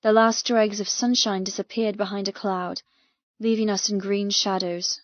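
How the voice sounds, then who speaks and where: A woman's voice: medium pitch, fairly even intonation, moderate pace, dry recording, lo-fi sound. A woman reading scripted first-person fiction as a dramatic audiobook narrator.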